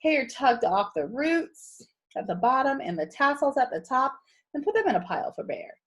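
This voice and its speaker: A woman reading a picture book aloud to children in a teacherly, expressive way, pausing to ask them questions. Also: high voice, breathy edge. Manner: animated, teacherly. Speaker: read-aloud storyteller for children.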